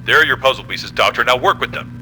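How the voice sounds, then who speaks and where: An actor playing a gruff, impatient military general in an audio drama, with a blunt, confrontational manner. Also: male voice, medium pitch, lively intonation, quick pace, sharp tone, slight background noise, low-quality recording.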